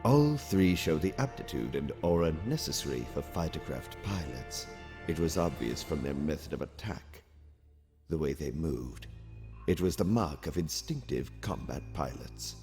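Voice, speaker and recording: A man voicing a calm, calculating alien military officer in formal, precise phrases for a comic dub. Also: rumbling low, faint music behind. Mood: measured, persuasive. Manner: measured, formal, precise wording. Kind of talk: dramatic comic dub acting.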